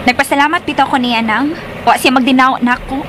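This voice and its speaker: Casting: woman as helpful young Cebuana in radio drama, high girlish pitch, faint noise behind. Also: fairly even intonation, fairly quick, natural voice. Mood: friendly, grateful. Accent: Filipino, Cebuano. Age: young adult. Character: young provincial woman, helpful former girlfriend. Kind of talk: Philippine radio drama acting.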